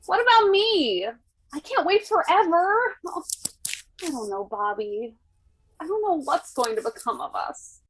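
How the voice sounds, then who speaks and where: A woman playing a hopeful young woman frustrated with her boyfriend, earnest and expressive, in a musical theatre read-through. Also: extremely high pitch, unhurried, full character voice, poor audio. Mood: frustrated, hurt. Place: recorded remotely online.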